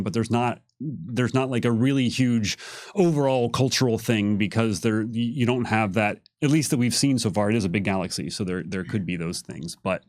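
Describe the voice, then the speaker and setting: A man's voice: lowish voice, dry recording, studio quality. A man giving casual, conversational explanations as a game designer on a Q&A panel.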